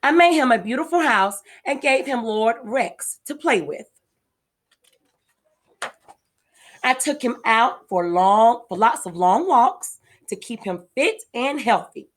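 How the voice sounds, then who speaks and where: An earnest, affectionate little girl from a storybook, as read by a woman. She speaks expressively and wide-eyed, with lively swings of pitch and an unhurried pace. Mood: fond, eager. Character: earnest, affectionate little girl telling of her new pet. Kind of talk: children's storybook read-aloud.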